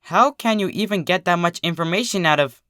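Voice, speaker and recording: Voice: mid-range voice, fairly quick, pushed and bright, no room sound, studio quality, pitched above the performer's own voice, natural voice. A narrator voicing a polite, bookish young female pony, friendly and earnest. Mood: puzzled.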